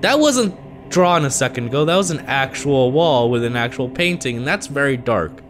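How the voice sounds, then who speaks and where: A man's voice: mid-range voice, lively intonation, normal speed, slight background noise. A young man with a congested voice, nervously talking himself through a horror game into a headset mic in a small room at home. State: congested from allergies. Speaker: gaming video creator.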